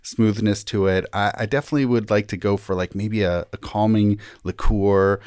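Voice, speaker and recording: A man's voice: on the low side, steady tone, normal speed, darkish tone, clean tone, no echo. A man speaking as a rabbi guest on a podcast, thinking aloud hesitantly in casual conversation.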